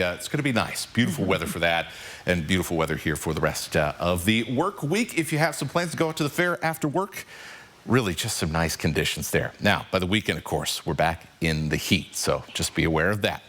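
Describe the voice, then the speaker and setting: Relaxed deep-voiced TV weatherman. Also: a little fast. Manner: relaxed, conversational, with fillers. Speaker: TV meteorologist. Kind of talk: live weather forecast chat. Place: TV news studio.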